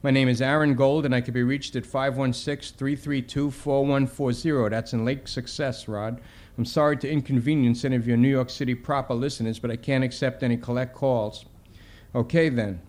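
A man's voice: medium pitch, fairly even intonation, brisk, darkish tone, natural voice. A man voicing a nervous, brainy young comics collector with nasal, precise diction. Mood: nervous, formal.

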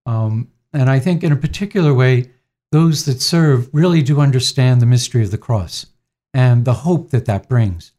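Gentle priest in his sixties, mellow tone, calm reflective answers. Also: mid-range voice. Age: 60s. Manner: gentle, unhurried, frequent fillers. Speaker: priest, interviewee.

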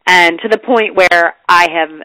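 A woman's voice: on the low side, measured pace, pushed and bright, no echo, low-quality recording. A woman in her thirties with a stuffy cold, chatting as a guest in a podcast interview. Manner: chatty, laughs lightly. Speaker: guest chef and food writer. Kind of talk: casual interview.

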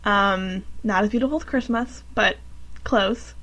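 A young woman in her twenties co-hosting a casual podcast, gushing in an upbeat way with soft laughs. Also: mid-range voice, measured pace, rough recording. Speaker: podcast co-host. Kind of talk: casual chat.

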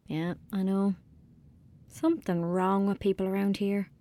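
A woman's voice: medium pitch, little pitch movement, unhurried, dark tone, close to an everyday voice. Woman playing a tender young rural Irish girl. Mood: fond, indignant. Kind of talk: audio drama acting, monologue and dialogue.

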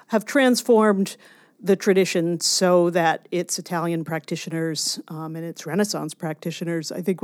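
A woman scholar explaining her research as an interview guest, deliberate and emphatic on key words. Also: lowish voice, no echo, clean studio sound. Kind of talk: explanatory academic interview talk.

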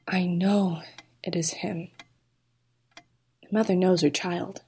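A woman's voice: lowish voice, fairly even intonation, slow pace, dark tone, breathy edge, full character voice. An actress playing a haunted, grieving mother in an audio drama, brittle, wistful and insistent.

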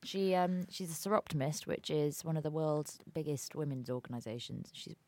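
A young woman co-hosting a podcast, chatty and melodramatic, telling stories quickly. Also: low voice, a little mellow, dry recording. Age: young adult. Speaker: podcast co-host. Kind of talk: casual chat.

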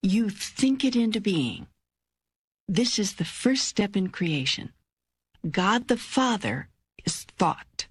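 Older lady doing god in an audiobook, calm and wise, husky, deliberate, really close dry sound. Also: medium pitch, dry recording, heavily acted. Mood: solemn, teaching. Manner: measured, emphatic, deliberate phrasing. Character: God, a calm, wise, authoritative divine teacher. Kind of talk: audiobook dialogue reading.

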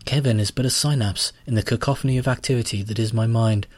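Man voicing a pompous AI bank mind, slightly higher and breathy, slow and grand. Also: steady tone, dry recording, natural voice. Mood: lofty. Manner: measured, lofty, pompous. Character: grandiose sentient bank computer, calm and self-important.

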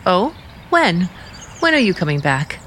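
A woman's voice: on the low side, big pitch swings, measured pace, a bit bright, slight background noise. An actress playing an earnest, troubled young American small-town woman with restrained resolve, in vintage radio drama style. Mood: wistful.

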